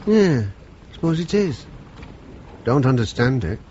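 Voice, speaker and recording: Radio play, dull noisy recording: plain-spoken, good-natured male chauffeur, animated delivery. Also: medium pitch, measured pace. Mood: unsure. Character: down-to-earth, good-natured, blunt working-class male chauffeur. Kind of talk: British radio play acting.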